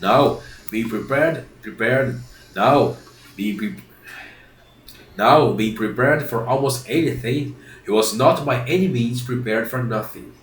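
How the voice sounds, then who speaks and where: Stuffy-nosed man reading aloud haltingly. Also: mid-range voice, reverberant, poor audio. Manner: halting, rereads and corrects himself often. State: congested, stuffy nose from a cold. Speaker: reader of a book series. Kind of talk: reading a classic novel aloud, with casual asides.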